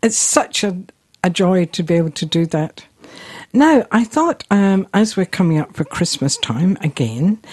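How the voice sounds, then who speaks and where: A woman's voice: lowish voice, darkish tone. A middle-aged woman radio host with an accent between Scottish and New Zealand, chatting casually through announcements with frequent "um"s. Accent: Scottish and New Zealand mix. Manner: chatty, friendly announcements with fillers.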